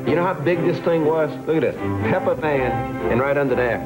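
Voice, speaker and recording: A man's voice: a little high, fairly quick, close to an everyday voice, poor audio. A man playing an indignant everyday voter giving an insistent testimonial in a comic commercial. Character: indignant everyday male voter championing a trivial cause. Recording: music bed under commercial clip.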